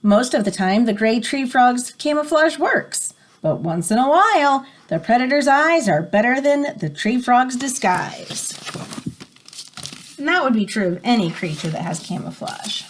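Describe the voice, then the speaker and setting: A woman's voice: a little high, expressive, measured pace, a little hiss or hum. A woman reading an educational picture book aloud as a teacher, explaining and prompting listeners as she goes. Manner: explanatory, stops to define words and prompt listeners.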